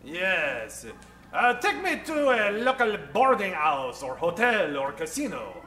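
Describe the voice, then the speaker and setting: A man playing a pompous, secretive traveler in an old-time radio comedy, speaking formally and haltingly. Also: high-pitched, sing-song, bright, forceful tone, reverberant, faint music behind, exaggerated voice. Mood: scheming.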